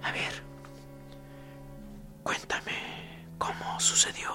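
An actor playing a dead male prisoner in a radio drama, a calm, mocking voice that speaks in a gravelly half-whisper with dry sarcasm. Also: drawn-out words, music in the background. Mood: sardonic. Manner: dry, sardonic, probing.

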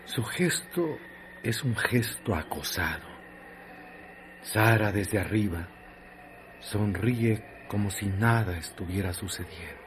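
An actor playing a brooding narrator in a radio drama, speaking in deliberate, broken phrases. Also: male voice, on the low side, animated. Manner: slow, deliberate, fragmented phrasing. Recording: echoey reverberant hall, background noise.

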